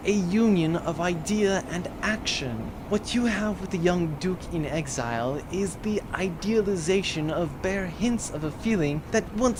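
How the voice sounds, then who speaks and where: An actor playing a formal, level-headed servant in an audio drama, with measured, pedantic delivery. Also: male voice, sits high, background noise.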